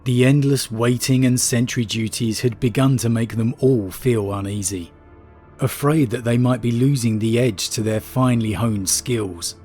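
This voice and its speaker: A man with a stuffy nose from a cold, hosting a lore channel and reading a wargame story aloud in a measured, steady storyteller's delivery. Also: mid-range voice, faint music behind. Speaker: YouTube lore channel host.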